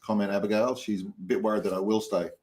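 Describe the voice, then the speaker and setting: Quick-talking Australian man, lowish voice. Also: steady tone, a little mellow. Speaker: guest, competition shooter. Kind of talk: casual chat.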